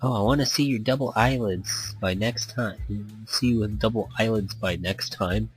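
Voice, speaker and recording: A man's voice: on the low side, normal speed, soft background music, lightly acted, low-quality recording. A man voicing a creepy, patronizing photo-shoot boss in a visual novel game, oily and pushy. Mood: leering, patronizing.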